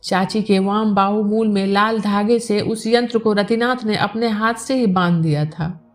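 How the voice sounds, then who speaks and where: A woman's voice: medium pitch, fairly even intonation, measured pace, soft background music. A woman narrating a village novel aloud as a storyteller, reading from a script.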